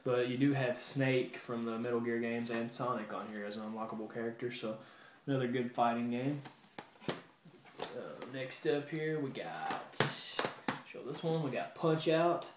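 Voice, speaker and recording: A man giving a casual, halting and rambling show-and-tell update to his viewers, like a hobby video game vlogger. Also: medium pitch. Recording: roomy echo with background hiss.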